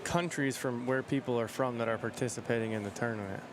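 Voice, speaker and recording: A man's voice: mid-range voice, steady tone, quick pace. A man who works at a sports event, chatting casually as he is interviewed on location. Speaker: tournament staffer being interviewed. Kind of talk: casual chat. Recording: clear urban ambient noise, live on location.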